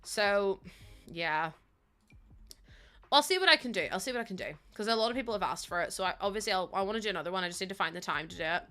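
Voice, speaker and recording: A woman's voice: mid-range voice, expressive, quick pace, pushed and bright. A young woman with a Northern Irish accent chatting casually while live-streaming, rambling and self-mocking with lots of fillers.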